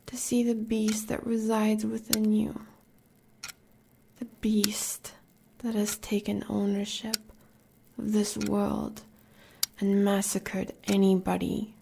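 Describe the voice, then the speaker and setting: Woman playing a slow, creaky-voiced American huntress lover. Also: medium pitch, noisy background. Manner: solemn, measured, poetic delivery. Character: devoted, fierce female werewolf huntress, consoling lover. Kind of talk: audio roleplay acting.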